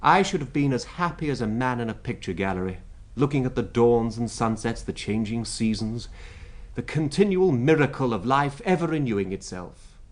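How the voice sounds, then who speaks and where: A man's voice: medium pitch, close to an everyday voice. A man acting in a radio drama with a British accent, playing a talkative, wryly amused royal secretary with an expansive, emphatic, rhetorical manner.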